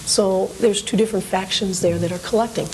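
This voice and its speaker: A woman's voice: mid-range voice, steady tone, fairly quick, a little mellow. A woman collector being interviewed, explaining items in an informal, matter-of-fact show-and-tell way. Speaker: memorabilia collector, interview guest. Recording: hissy, noisy old TV recording.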